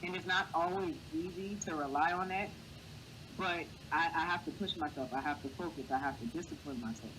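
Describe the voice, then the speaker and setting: A man with a light, boyish voice, speaking earnestly as a guest in a casual interview and giving encouraging advice. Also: a little fast. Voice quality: high, boyish voice. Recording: clear background noise.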